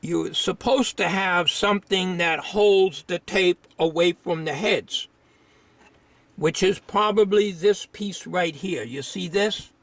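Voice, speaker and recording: A man giving an informal, unscripted hands-on repair demonstration, thinking aloud in a halting way. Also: high-pitched, a bit bright, no echo.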